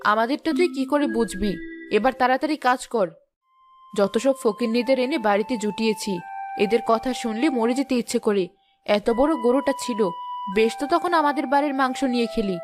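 A woman playing a snobbish, quick-tempered village chief's wife who scolds condescendingly in a cartoon. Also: a little high, natural voice. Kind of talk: cartoon dialogue. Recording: music behind the voice.

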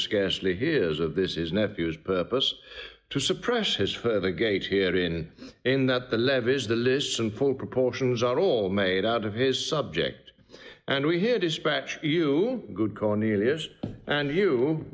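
An actor playing a regal, smooth-talking king in classical drama, with stately, measured oratory. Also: medium pitch. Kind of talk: classical stage drama acting.

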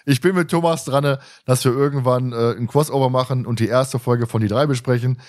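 A man's voice: medium pitch, steady tone, fairly quick. A man hosting a podcast in casual, chatty conversation with a teasing manner. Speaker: podcast host.

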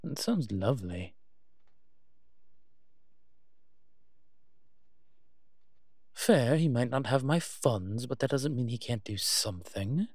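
A man's voice: medium pitch, lively intonation, normal speed, darkish tone, dry recording, clean studio sound, lightly acted. A man playing a refined, centuries-old vampire in a roleplay audio drama, pompous, wry and teasing. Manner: pompous, wry, posh turns of phrase.

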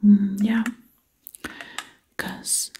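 A woman doing ASMR storytelling in a hushed, breathy whisper, lingering on words and repeating them. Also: mid-range voice, flat delivery, extremely slow, a little hiss or hum. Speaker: ASMR creator.

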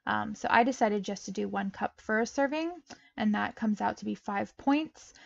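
Bubbly chatty woman, slightly gravelly. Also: mid-range voice, moderate pace, no echo, highs cut off. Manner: bubbly, chatty, laughs between steps. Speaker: meal prep vlogger. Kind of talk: casual voice-over cooking narration. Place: home kitchen.

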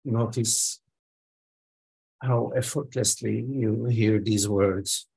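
A man with a very husky, slightly gravelly voice guides a meditation. He speaks very slowly, in short, sparse phrases with long silences between them. His pitch sits on the low side, and his delivery is that of a teacher giving gentle instructions. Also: steady tone, low-quality recording.

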